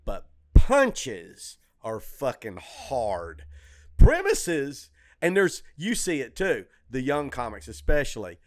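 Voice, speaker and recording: A middle-aged man chatting casually as a podcast host, telling stories in an animated, opinionated way and swearing freely. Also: medium pitch, slow pace, no echo, studio quality. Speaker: comedy club owner and comedian, podcast host. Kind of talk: casual shop-talk chat.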